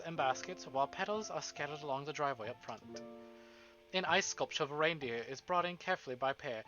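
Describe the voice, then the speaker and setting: Bright-voiced male streamer, highish pitch. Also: muffled recording. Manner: expressive, swearing, breaks into enthusiastic asides. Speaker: game streamer. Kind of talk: live reading of a visual novel with casual excited asides. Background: game music.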